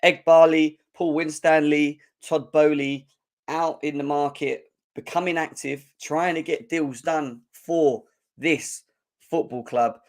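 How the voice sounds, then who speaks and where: A young man, his voice hoarse and croaky, hosting a live football fan show and chatting energetically while clearing his throat. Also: fairly high pitch, steady tone, slow. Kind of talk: live-stream chat.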